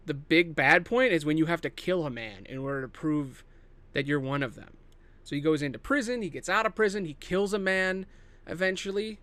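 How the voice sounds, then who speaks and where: High-pitched man rambling casually, solo podcast. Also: fairly bright tone, clean tone, no room sound. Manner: rambling, thinking aloud, self-interrupting; casually profane. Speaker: podcast host. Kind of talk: casual improvised solo monologue pitching film adaptations.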